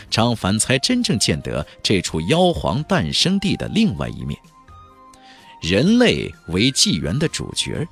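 A man narrating a fantasy audiobook as a dramatic, expressive storyteller. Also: on the low side.

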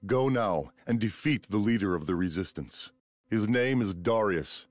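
A man playing a stern, order-obsessed military commander in a video game, speaking in a formal, authoritative, measured way. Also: lowish voice, lively intonation, dry recording. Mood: commanding. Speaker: game character. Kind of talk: video game cutscene acting.

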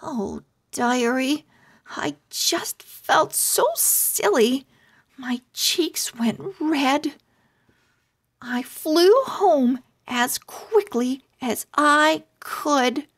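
A woman voicing an earnest, sensitive young girl owl from a children's storybook, with simple, expressive delivery. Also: sits high, very slow, heavily acted. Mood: embarrassed.

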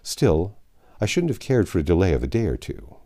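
Older man, audiobook narration, low pitch, very animated intonation, measured delivery with dramatic pauses. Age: 50s or 60s. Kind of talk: reading a classic novel aloud. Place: small home library, indoors.

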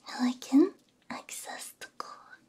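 A woman whispers slowly and softly into a close binaural microphone. She speaks in short, hushed phrases with a soothing, reassuring manner, as in an ASMR roleplay. The tone stays mellow and gentle. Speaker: VTuber ASMR host.

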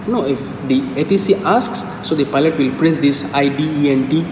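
A young adult man giving an informal, step-by-step lecture in a halting, repetitive way. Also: on the high side. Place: indoor room, echoing. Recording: echoey room, distant mic, clearly noisy.

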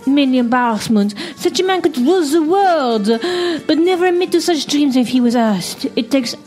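Woman doing haughty French seductress, fake French accent, high-ish voice, faint music behind, sketch comedy. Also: animated, normal speed, darkish tone, rough recording. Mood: scornful flirt. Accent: put-on French accent. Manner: theatrical, mock-seductive, scornful.

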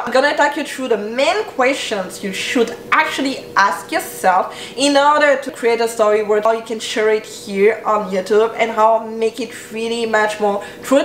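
A woman's voice: a little high, reverberant, rough recording. A young woman, a style coach giving an earnest, encouraging vlog talk to camera in a bedroom. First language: French. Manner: earnest, encouraging, talks with her hands. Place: bedroom with clothes rack and shelves, indoors. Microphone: camera at medium distance.

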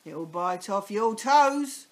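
A woman's voice: mid-range voice, animated, takes it slowly. A woman voicing a nasty old husband in a children's story, sneering and taunting.

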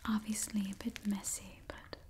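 A woman in her twenties doing a whispered ASMR roleplay as a witch hosting a party, speaking gently and deliberately into a close microphone, softly repeating words. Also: mid-range voice, flat delivery. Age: 20s. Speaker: ASMR performer. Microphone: close microphone, near the camera.

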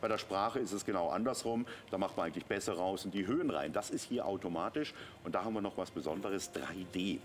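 A man's voice: low voice, fast talker, few pauses. A middle-aged man giving a live sales demonstration on a shopping channel, explaining in a conversational way. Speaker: shopping channel product presenter. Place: TV studio.

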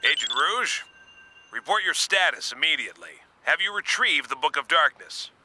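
An actor playing a stern, urgent agency commander in a video game, with a commanding, clipped manner. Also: male voice, fairly high pitch, big pitch swings, moderate pace, bright, forceful tone, a little hiss or hum, exaggerated voice. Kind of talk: video game cutscene acting.

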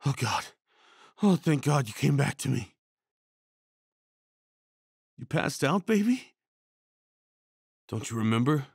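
A man performing an ASMR comfort roleplay as a tender, worried boyfriend, speaking in a hushed, soothing way with sighs and shushing. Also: lowish voice, husky, dry recording, clean studio sound.

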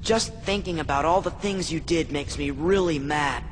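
A man's voice: fairly high pitch, moderate pace, close to an everyday voice. An actor playing an earnest, emotional teenage hero boy in a video game, speaking with heartfelt sincerity. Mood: grudging gratitude. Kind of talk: video game cutscene voice acting. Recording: game audio with long reverb, music.

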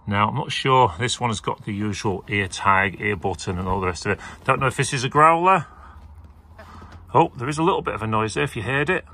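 A man's voice: on the low side, brisk, bright, forceful tone. A man showing auction lots to a handheld phone camera indoors, chatting casually with lots of fillers. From England. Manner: chatty, rambling, with many fillers. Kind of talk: casual video walkthrough. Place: indoors beside a leather armchair.

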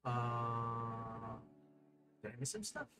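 A young man chatting casually on a live stream at his desk, rambling and excitable, reading chat aloud and laughing often. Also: medium pitch, very slow. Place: desk at home, overhead camera.

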